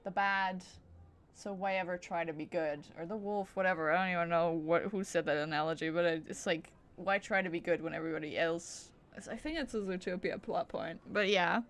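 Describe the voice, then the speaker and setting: A woman's voice: on the low side, brisk. A woman streamer giving casual live gameplay commentary in a playful, rambling way. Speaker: game streamer. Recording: game music behind the voice.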